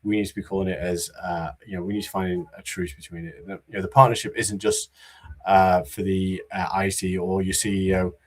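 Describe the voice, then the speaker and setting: A man giving a conference talk about business readiness, in a monotone voice with many fillers and restarts. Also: sits low, fairly quick. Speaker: conference speaker.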